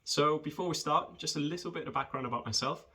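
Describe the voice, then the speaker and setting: A man, talking in a friendly, conversational way with hesitant pauses as he introduces himself and his work for students. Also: a little high, bright, forceful tone, slightly rough. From rural southwest Wales. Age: 20s. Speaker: junior footwear developer. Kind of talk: informal self-introduction video for students.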